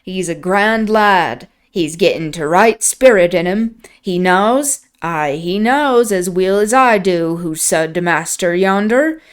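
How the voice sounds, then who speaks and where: A woman putting on a croaking old servant. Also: lowish voice, expressive. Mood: gloating. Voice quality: croaking, cackling. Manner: slow drawl in broad dialect. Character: gloating old male servant, rough rural dialect.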